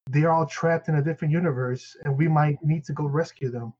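A man co-hosts a podcast, English not his first language, wandering through plugs and updates with plenty of "um" and restarts. His voice sits a bit high, and the recording sounds dull and lo-fi. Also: steady tone, fast, slightly rough. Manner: rambling, many fillers and restarts. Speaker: podcast co-host. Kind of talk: casual podcast chat.

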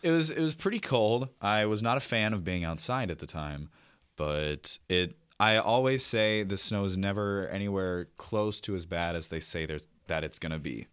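Low-voiced young Midwestern man, radio-ready. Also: lively intonation, moderate pace, fairly bright tone, clear, resonant tone, no room sound, clean studio sound. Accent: Midwestern American. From Iowa, United States. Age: young adult. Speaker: radio show guest. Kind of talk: casual chat. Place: radio studio. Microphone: close studio microphone.

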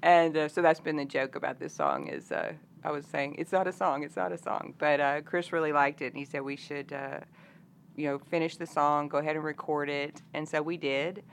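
A woman's voice: deep voice, a little fast, gravelly. A woman. She chats casually as an interview guest, with some noise behind her. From Arkansas, United States. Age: 50s. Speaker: interview guest, country singer-songwriter.

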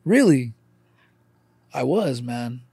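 A man's voice: mid-range voice, sing-song, drawn-out words, dark tone, husky, dry recording, studio quality. A middle-aged man in casual, confessional conversation, speaking candidly and emphatically, swearing and peppering his talk with "man" and "dude.". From born in Ukraine, emigrated at age four.

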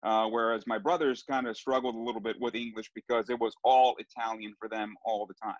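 A man's voice: on the low side, flat delivery, fairly quick, no room sound, highs cut off. A middle-aged man from Southern California, a sports broadcaster telling his family's story in a casual interview, rambling with frequent restarts. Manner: rambling, with many restarts and fillers.

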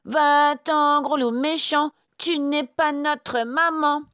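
A woman voicing cheeky, excitable young storybook goats with squeaky, childlike, singsong delivery. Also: unhurried, no echo, studio quality. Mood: defiant. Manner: loud, singsong taunting. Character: chorus of cheeky, excitable little goat kids.

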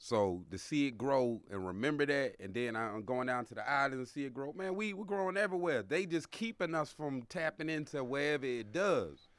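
A man's voice: mid-range voice, expressive, brisk, hardly any pauses, a bit bright, dry recording. A middle-aged man chatting casually and telling stories in a slangy, rambling way. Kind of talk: casual chat, storytelling.

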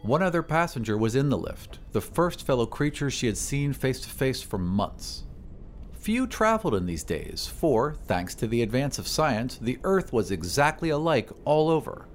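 A man narrating a story in a deliberate, literary, dramatic scripted style. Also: mid-range voice, very animated. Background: music.

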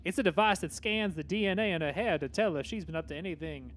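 A man voicing a calm older butler who explains gadgets and drugs. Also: high voice, quick pace. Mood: matter-of-fact.

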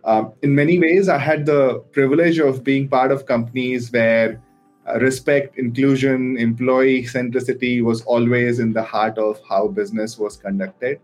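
A man speaks earnestly on a panel podcast in a mid-pitched voice, sharing his background in a reflective way. Faint music plays quietly behind him. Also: steady tone, normal speed. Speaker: panelist, HR director.

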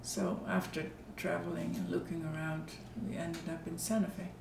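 A woman's voice: fairly low pitch, little pitch movement, moderate pace, gravelly, darkish tone, roomy sound, slight background noise. A woman, a guest artist telling her story unhurriedly in casual conversation at her home. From Netherlands. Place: home living room.

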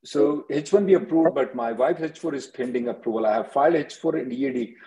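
A man, hosting a call-in as an attorney, briskly answering questions and repeating himself. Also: medium pitch, dark tone. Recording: dull lo-fi conference call audio.

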